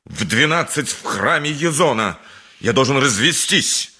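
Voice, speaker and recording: A man's voice: mid-range voice, animated, a little slow, sharp tone, breathy edge, full character voice. A man acting an aggrieved, self-pitying dentist of ancient Greece in a radio play, complaining melodramatically. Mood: despairing.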